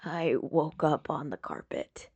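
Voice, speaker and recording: A woman voicing an injured, apologetic young man in a story, with a raspy, breathy, halting voice. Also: low voice, animated, a little mellow, no room sound, pitched below the performer's own voice, heavily acted. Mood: weak, apologetic. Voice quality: raspy, breathy, broken by coughs. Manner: halting, faint, hesitant. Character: injured, weak, apologetic young man, strangled and struggling to speak.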